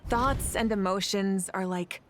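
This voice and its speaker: An actress playing a calm, wise female mentor, speaking in measured, poetic video game dialogue. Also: medium pitch, faint music behind, natural voice. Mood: solemn.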